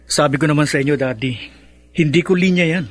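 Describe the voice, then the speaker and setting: Actor as a cocky, higher-voiced young Filipino man. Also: brisk, natural voice. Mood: reluctant, defensive. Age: young adult. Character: vain, cocky, laid-back young son and boyfriend. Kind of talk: Filipino radio drama acting.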